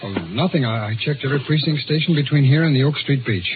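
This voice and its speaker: A man's voice: on the high side, fast, close to an everyday voice. An actor playing a nervous, urgent man in 1950s radio drama, hesitant and stammering. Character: nervous, urgent male friend of a missing man. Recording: tinny vintage radio recording with music.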